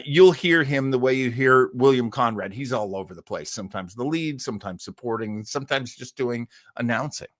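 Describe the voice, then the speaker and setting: A man hosting a live show in casual chat, reading out and answering listener comments in a halting, rambling way with jokey asides. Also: a little high, bright, forceful tone, no room sound, studio quality.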